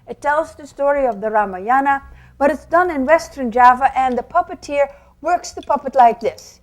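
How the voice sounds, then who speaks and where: High-voiced woman, theatrical storyteller. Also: moderate pace. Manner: theatrical, emphatic, with rhetorical questions. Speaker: shadow puppeteer presenter. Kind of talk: explanatory storytelling talk to an audience.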